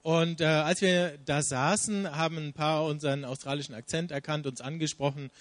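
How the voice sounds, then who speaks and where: A man's voice: on the high side, fairly even intonation, normal speed, dry recording. A man interpreting a speaker's stories sentence by sentence, with frequent hesitation fillers. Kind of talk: consecutive interpretation.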